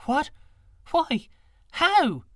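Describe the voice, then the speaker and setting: A man voicing an excitable, tearful young girl with a high, gushing voice. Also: a little fast, dry recording, studio quality. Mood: alarmed.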